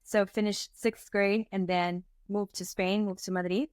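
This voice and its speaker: A woman's voice: mid-range voice, unhurried, clean tone, no echo. Brief: woman in her twenties from Venezuela, guest, chatty, storytelling, dry close recording. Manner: animated storyteller, fillers like "like" and "um". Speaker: startup co-founder, guest. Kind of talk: casual interview talk.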